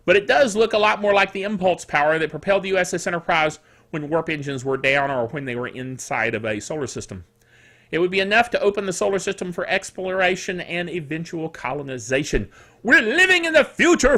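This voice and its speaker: A man's voice: high-pitched, fairly bright tone. A man hosting a casual tech news show, reading items aloud with jokey, exclamatory asides and a sore throat. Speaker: host of a tech news podcast. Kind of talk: casual solo tech commentary mixed with read-out news.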